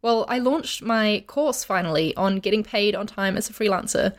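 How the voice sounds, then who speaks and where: A woman's voice: mid-range voice, moderate pace. A woman co-hosting a casual podcast, friendly and enthusiastic, explaining things step by step. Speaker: podcast co-host.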